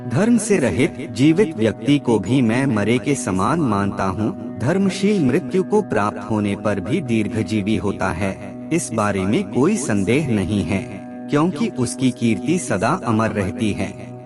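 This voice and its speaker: A man reading scripted maxims as a narrator, in a formal, measured and deliberate way. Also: on the low side.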